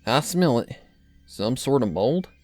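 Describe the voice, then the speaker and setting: A man's voice: mid-range voice, very animated, measured pace, darkish tone, dry recording, soft background music. A man voicing a practical young female farm pony in a story reading, with a rural Southern drawl. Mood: puzzled, steady. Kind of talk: fan fiction character reading.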